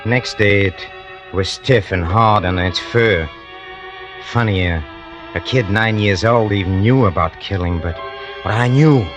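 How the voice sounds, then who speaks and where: Slow, low-voiced, brooding killer played by an actor. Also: male voice, dull audio, close to an everyday voice. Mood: brooding hatred. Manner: brooding, halting, repeats himself with menace. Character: bitter, cold-blooded hired killer confessing his crimes. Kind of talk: 1940s-50s radio drama acting. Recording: old radio broadcast with music underneath.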